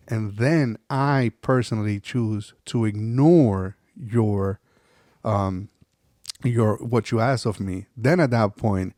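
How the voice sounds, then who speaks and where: A man's voice: on the low side, a little mellow, dry recording, studio quality. Laid-back teasing male co-host. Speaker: podcast co-host. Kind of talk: casual chat.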